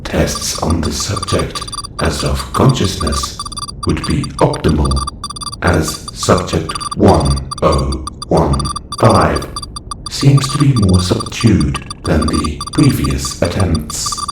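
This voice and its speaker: A man's voice: low voice, huge pitch swings, takes it slowly, over loud music, heavily acted. A man voicing a clinical, detached observation robot in an audio roleplay, speaking in a stilted, formal, matter-of-fact way. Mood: clinical, cataloguing. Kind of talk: scripted audio roleplay monologue. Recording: roomy echo with faint noise.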